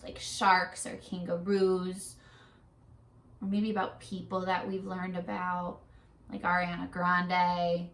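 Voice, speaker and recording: A woman teacher leading a read-aloud lesson for young children, in an animated, questioning, sing-song way. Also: fairly low pitch. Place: classroom-style room. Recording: echoey room, distant mic.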